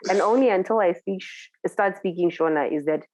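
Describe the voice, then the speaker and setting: A woman's voice: on the low side, a little fast, darkish tone, slight background noise, rough recording. A woman DJ with a Zimbabwean accent, talking casually in an interview, thoughtful and searching for words. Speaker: DJ guest.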